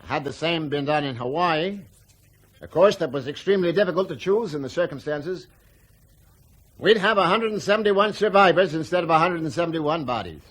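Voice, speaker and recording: A man's voice: fairly high pitch, animated, a bit bright, poor audio. An actor playing a reassuring, defensive aircraft company spokesman in a radio drama, explaining formally and carefully. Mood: defensive, explanatory. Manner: measured, formal explaining with hedged emphasis.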